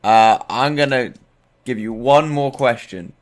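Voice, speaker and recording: A man's voice: medium pitch, measured pace. A man from Europe hosting a casual, informal chat about tabletop games, often repeating his phrases. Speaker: talk show host and game master.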